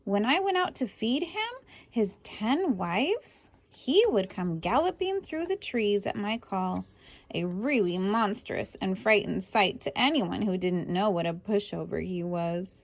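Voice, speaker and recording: A woman's voice: mid-range voice, sing-song, measured pace, no echo. A woman reading a children's book aloud expressively, adding chatty asides to young listeners. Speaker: storybook reader.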